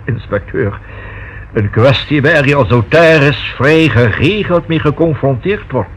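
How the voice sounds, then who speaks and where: A formal, expressive notary. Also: male voice, medium pitch, husky, full character voice. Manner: formal, measured, precisely worded. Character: formal, discreet male notary, precise and proper. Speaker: actor in a radio play. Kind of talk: Dutch radio drama acting. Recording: dull, faintly noisy old radio recording.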